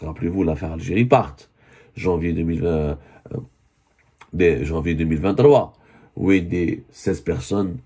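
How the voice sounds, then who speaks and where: A man with a voice hoarse from a cold, giving an earnest spoken news commentary and often correcting himself. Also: sits low, measured pace, pauses often, dark tone. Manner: earnest, with many self-corrections.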